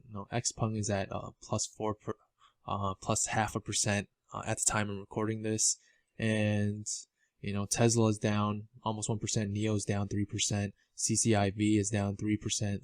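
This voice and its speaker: A man giving informal financial commentary as a video host, halting and often repeating words as he explains. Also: lowish voice, flat delivery, a little mellow, no room sound. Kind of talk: informal financial commentary over documents on screen.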